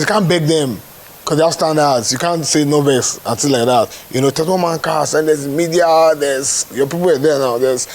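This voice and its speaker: A man with a Nigerian accent speaks in a somewhat high voice. He talks as a producer being interviewed, in conversational phrases, and keeps checking that the listener follows him. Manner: repeats check-in questions to the listener. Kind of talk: animated spoken interview.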